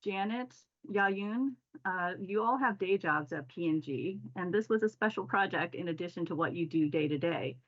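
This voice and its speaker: Woman moderating a panel, slightly low voice. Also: expressive, normal speed, few pauses, highs cut off. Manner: scripted, formal introductions. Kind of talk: hosting a panel discussion.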